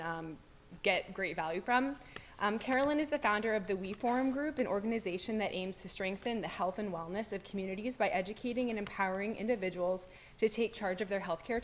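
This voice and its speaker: A woman's voice: mid-range voice, slight background noise. Young woman introducing a speaker. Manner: hesitant, many "um"s and "uh"s. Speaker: student representative introducing a speaker. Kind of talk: formal introduction. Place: university lecture room.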